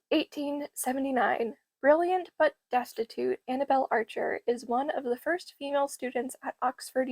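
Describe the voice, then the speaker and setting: A young woman, a bit hoarse from losing her voice, chatting enthusiastically about library books. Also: a little high, darkish tone, no echo. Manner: enthusiastic, gushing. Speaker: book vlogger. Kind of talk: casual book haul chat.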